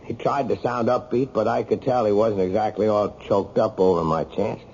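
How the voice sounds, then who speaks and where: Guy acting a bitter but loving jailed husband, soft dark voice, earnest, old noisy radio play. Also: on the low side, steady tone, moderate pace, lo-fi sound, close to an everyday voice. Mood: downcast, bitter. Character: jailed, embittered but tender husband protesting his innocence.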